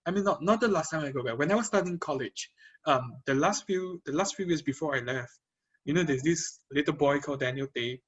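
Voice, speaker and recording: A young man from Johor Bahru with a Malaysian English accent, chatting casually in a halting way with lots of repeats and laughs. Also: on the high side, slightly rough, dull audio. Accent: Malaysian Chinese English. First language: Chinese. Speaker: guest. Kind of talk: casual chat.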